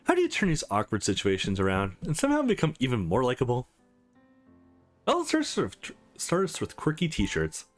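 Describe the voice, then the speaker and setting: A man's voice: medium pitch, huge pitch swings, normal speed. A man voicing awkward, wry young women who are rivals in love in a visual novel. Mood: apologetic, teasing. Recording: music under the voice.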